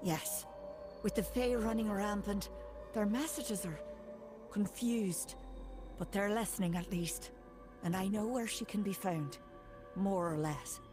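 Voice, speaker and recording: A woman playing a steady, practical member of a woodland circle in a fantasy game, measured and matter-of-fact. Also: medium pitch, music in the background, natural voice. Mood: calm, helpful. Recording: game score behind.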